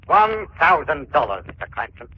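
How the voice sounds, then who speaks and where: A shady waterfront informant, his pitch sliding up and down in lively sweeps. He talks in sly, slangy crook fashion, as in a 1940s radio thriller. The recording is noisy and dulled with age. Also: normal speed, muffled recording. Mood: sly, insinuating. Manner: sly, colloquial crook talk. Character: shady waterfront underworld informant called Freddy the Frog.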